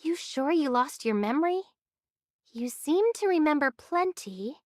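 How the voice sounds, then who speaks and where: A woman voicing a brisk, teasing young female adventurer in an anime game, pert and sceptical. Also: high voice, animated, no room sound, clean studio sound.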